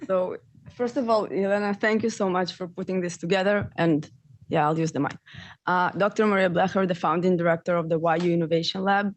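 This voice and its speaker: A woman with an Israeli accent speaking on a panel as a program director, in brisk, quick bursts. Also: on the low side. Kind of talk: panel talk.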